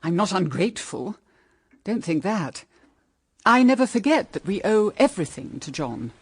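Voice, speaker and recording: A woman's voice: medium pitch, sing-song, moderate pace, slightly rough, no room sound. An actress playing a proud, dutiful middle-aged mother in a period radio drama, with an earnest, restrained, wistful manner. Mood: proud, burdened.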